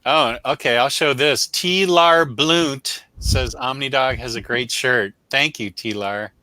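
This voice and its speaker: Bright, forceful man reviewing comics. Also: medium pitch, takes it slowly, no room sound. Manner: opinionated, blunt, emphatic. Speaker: co-host of a comic book review show. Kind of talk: casual unscripted review chat with viewers.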